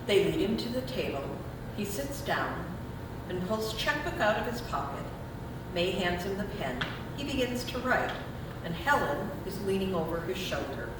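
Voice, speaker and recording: Female narrator for a stage reading, lively intonation, scripted, recorded at a distance. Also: moderate pace. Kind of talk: scripted readers' theater narration. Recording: echoey room, distant mic, rumbling noise.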